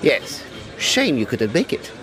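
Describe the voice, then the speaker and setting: An actor playing a suave, eager Edwardian occult detective in an audio drama, courteous and teasing. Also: male voice, medium pitch, wildly swooping pitch, slow, crowd noise, low-quality recording. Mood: teasing, breezy.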